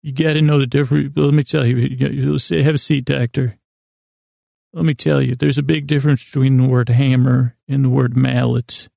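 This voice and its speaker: A man hosting a sleep podcast with a gravelly voice, improvising a meandering, whimsical monologue that often trails off and restarts. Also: medium pitch, level tone, normal speed, no room sound, studio quality. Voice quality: gravelly, creaky. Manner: gently absurd, whimsical musing. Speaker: podcast host.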